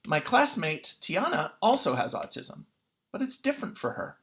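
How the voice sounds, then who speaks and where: Children's read-aloud, teacher, expressive delivery, very lively intonation, medium pitch. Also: moderate pace. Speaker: teacher reading a picture book aloud.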